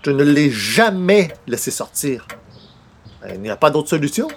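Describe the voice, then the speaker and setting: A man's voice: on the high side, sing-song, measured pace, a crowd in the background. A man playing a mild-mannered, bewildered newspaper columnist in a radio comedy, questioning and wry, with a Quebec French accent. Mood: wry, reluctant. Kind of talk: 1940s-style radio comedy acting.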